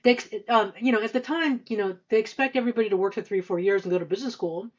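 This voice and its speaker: A woman in her fifties answering interview questions conversationally, with halting, hesitant speech full of stammered restarts. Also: mid-range voice, dull audio. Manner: halting, hesitant delivery with frequent stammered repeats and long filler runs. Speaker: podcast guest, senior finance executive.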